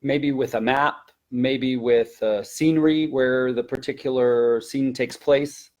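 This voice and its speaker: A man with a medium-pitched voice leads a teaching webinar in a conversational way. He explains methods like a working teacher, repeating key words for emphasis. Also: fairly even intonation, takes it slowly, a little mellow. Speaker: language teacher and trainer.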